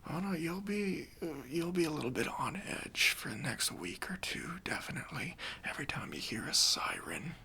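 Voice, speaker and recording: A man playing a kindly, reassuring bank robber in a soft, breathy ASMR roleplay, speaking gently and casually to an accomplice. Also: on the high side, very animated, normal speed, hardly any pauses, slight background noise, full character voice. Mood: soothing, reassuring. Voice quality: breathy, near-whispered.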